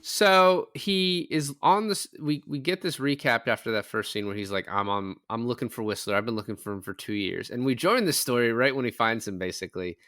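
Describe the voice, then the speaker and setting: A man's voice: mid-range voice, a little fast, few pauses, fairly bright tone, no room sound, clean studio sound. A man in a casual film-recap chat, rambling along and acting out imagined lines as he goes.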